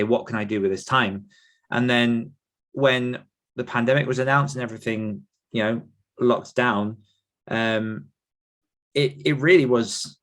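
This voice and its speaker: Male podcast guest, 30s, British, lowish voice, chatty storyteller in relaxed conversational interview.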